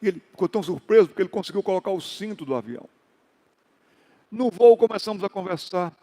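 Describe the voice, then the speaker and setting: A male pastor telling stories in a sermon, acting out dialogue and rising into loud exhortations. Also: sits high, animated, fast, big-room sound. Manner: storytelling with acted dialogue and sudden loud exhortations. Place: church hall.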